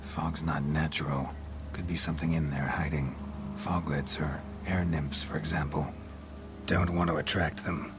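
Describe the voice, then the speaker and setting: A man playing a stoic, gruff monster hunter in a video game, terse and deadpan with a low gravelly voice. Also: normal speed. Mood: calm, wary. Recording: game audio under background music.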